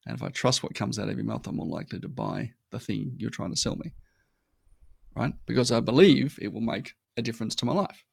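Creaky-voiced man making emphatic points. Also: medium pitch, a little fast, dry recording, clean studio sound. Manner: emphatic, often tags points with "right?". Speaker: podcast guest. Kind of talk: casual conversational interview talk.

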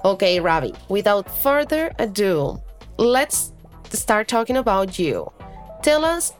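A woman's voice: mid-range voice, big pitch swings, slow pace, soft background music. A woman hosting a podcast interview in a conversational style. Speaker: podcast host.

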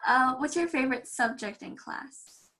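Young woman, interviewer, friendly, slightly high voice. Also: a little slow, pushed and bright. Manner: friendly, reads questions from a list. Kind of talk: casual chat.

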